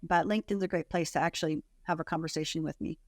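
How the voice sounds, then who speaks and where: A woman's voice: sits low, steady tone, quick pace, dry recording, studio quality. A woman speaking as an expert podcast guest, conversational, informal and plain-spoken with emphatic points. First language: English. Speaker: podcast guest, content marketing consultant.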